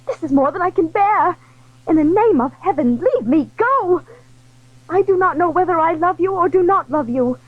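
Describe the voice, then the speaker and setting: A woman's voice: very high-pitched, lively intonation, normal speed, no room sound, narrow, tinny audio. A woman playing an anguished, majestic queen in an audio drama, speaking formally and pleadingly, torn between love and honor. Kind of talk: theatrical audio drama acting.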